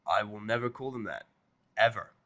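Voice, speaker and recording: A man's voice: lowish voice, lively intonation, normal speed, fairly bright tone, highs cut off. A stubborn, irritable human guy played by a man with a dry, sarcastic streak. He swears freely and sounds like an everyday man in a fantasy audio story. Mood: stubborn, flat.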